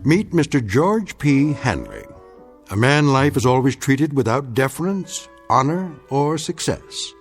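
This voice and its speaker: An actor narrating a radio drama in a wry, measured, theatrical storytelling manner. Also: male voice, mid-range voice, very animated, faint music behind. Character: wry older male anthology narrator.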